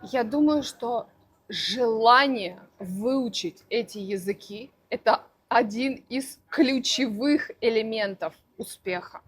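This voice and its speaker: A woman language teacher answering interview questions for learners, speaking slowly and deliberately with careful articulation. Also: on the high side. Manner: slow, deliberate, clearly articulated.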